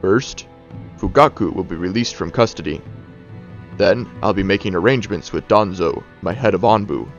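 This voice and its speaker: Authoritative older male leader voiced by a man for audio drama: deep, deliberate, slightly dull sound. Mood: businesslike. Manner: formal, deliberate. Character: authoritative older male village leader, stern but measured. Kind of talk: fan audio drama acting. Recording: dull lo-fi sound, faint music behind.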